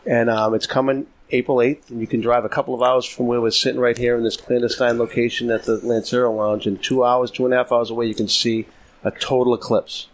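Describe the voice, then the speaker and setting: A man's voice: medium pitch, little pitch movement, brisk. A middle-aged man with a Boston accent, chatting and teasing as a podcast panelist in a cigar lounge. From New England. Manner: joking, teasing, rambling. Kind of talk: casual chat.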